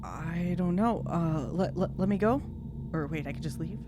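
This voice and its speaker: A woman's voice: lowish voice, moderate pace. Woman in game chat, noisy recording. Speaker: player in a tabletop game. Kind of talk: casual improvised game chat.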